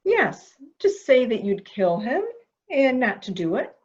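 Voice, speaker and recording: An actress plays a calculating woman in an amateur mystery drama, coaxing and deliberate. Her pace is slow and her pitch swings widely. The recording is slightly dull and lo-fi. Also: sing-song, a little mellow. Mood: coaxing, goading. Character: calculating woman coaxing a dangerous childlike man. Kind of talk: amateur radio-play acting.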